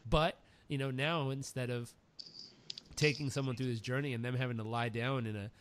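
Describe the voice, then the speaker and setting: A man's voice: mid-range voice, fairly even intonation, normal speed, a little hiss or hum. A man hosting a podcast, chatting casually and telling stories with enthusiasm, often repeating words as he starts a thought. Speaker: podcast host. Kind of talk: casual interview chat, storytelling.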